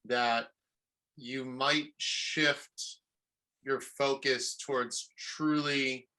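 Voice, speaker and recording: Man, very bright tense voice, curious, probing questions. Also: medium pitch, fairly even intonation, extremely slow. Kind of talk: casual podcast chat.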